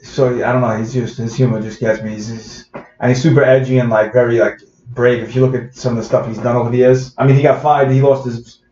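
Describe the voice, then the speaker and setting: A man's voice: medium pitch, little pitch movement, fast, mellow, a little hiss or hum. A man with a Bronx New York accent, a comedian guest in a casual podcast interview, rambling with lots of fillers and restarts. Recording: roomy, dull podcast audio.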